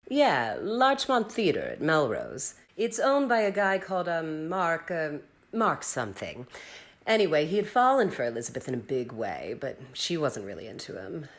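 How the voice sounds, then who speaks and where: Low, cynical, world-weary dame. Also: female voice, wildly swooping pitch, moderate pace, heavily acted. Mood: dismissive. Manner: blunt, dismissive, world-weary. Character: cynical, blunt woman jaded by Hollywood. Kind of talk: audio drama acting.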